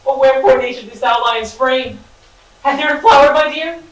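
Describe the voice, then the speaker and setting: A woman's voice: high-pitched, moderate pace, close to an everyday voice. A woman voicing Pyramus, a lovesick young hero, declaiming in mock-tragic, overdramatic amateur stage acting. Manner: declaiming, mock-tragic, laughing through lines. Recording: echoey room from far off, faint noise.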